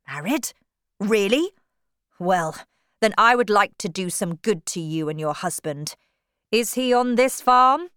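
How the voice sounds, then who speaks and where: A woman voicing an intense, remorseful young gentleman turned preacher in an audiobook, pressing his case earnestly. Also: medium pitch, lively intonation, unhurried, smooth, dry recording. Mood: angry disbelief.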